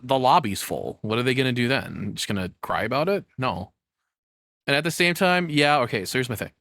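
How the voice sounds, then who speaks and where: A man's voice: mid-range voice, fairly bright tone, dry recording, studio quality. A man giving casual, rambling reaction commentary in a sarcastic, cynical manner with frequent swearing. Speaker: streamer reacting to a video.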